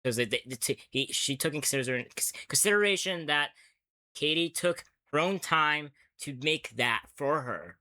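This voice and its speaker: A man's voice: a little high, animated, normal speed, sharp tone. A man hosting a casual reaction chat, speaking hesitantly with stammered repetitions. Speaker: host.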